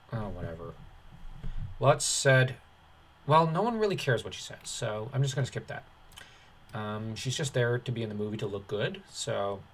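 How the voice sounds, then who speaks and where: A man's voice: medium pitch, fairly bright tone, a little hiss or hum. A man reading an article aloud as a commentator, breaking off into dismissive, sardonic, off-the-cuff asides. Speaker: online commentator.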